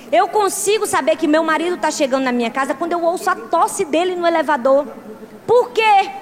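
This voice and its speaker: High-voiced woman preaching in a big hall. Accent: Portuguese-speaking, with a strong regional accent. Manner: emphatic preaching with swings from soft to loud. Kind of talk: sermon. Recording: long hall reverb.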